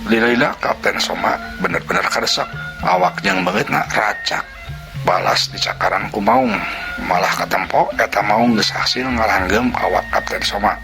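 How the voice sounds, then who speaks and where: Gravelly Sundanese storyteller over music. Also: male voice, fairly low pitch, moderate pace. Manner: vivid, sound-word narration. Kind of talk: dramatic folk tale narration. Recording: narration over loud music.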